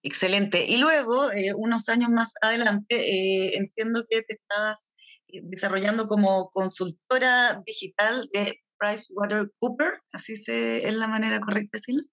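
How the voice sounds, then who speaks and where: A woman's voice: medium pitch, steady tone, moderate pace, fairly bright tone. Woman hosting a podcast, medium voice, friendly, a few ehs here and there. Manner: friendly, some filler hesitations. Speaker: podcast host. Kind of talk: podcast interview.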